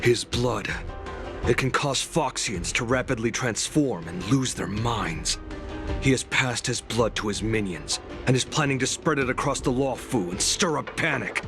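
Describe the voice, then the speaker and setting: An actor voicing a grim, defeated warrior in a video game, grave, strained and gravelly. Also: male voice, medium pitch, animated, unhurried. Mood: grave warning. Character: grim, defeated male warrior reporting his failure. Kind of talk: video game cutscene acting. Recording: music behind the voice.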